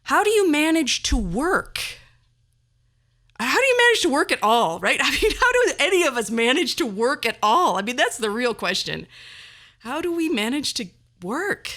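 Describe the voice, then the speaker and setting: A woman's voice: high-pitched, big pitch swings, moderate pace, sharp tone. A middle-aged woman hosting a podcast, delivering a personal monologue in a wry, self-deprecating and theatrically emphatic way. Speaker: podcast host.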